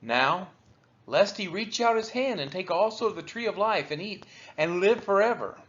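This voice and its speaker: A man reading scripture aloud for a daily read-along, phrasing it deliberately in short units. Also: sits high, expressive, fairly bright tone, lo-fi sound. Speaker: Bible reader for a church's daily read-along.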